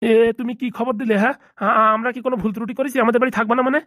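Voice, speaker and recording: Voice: medium pitch, flat delivery, racing, sharp tone, no room sound, studio quality, natural voice. A performer playing a fretful, kindly middle-aged landlord and parent in a comedy cartoon, chatty and fussing, with a colloquial Bengali accent. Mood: alarmed.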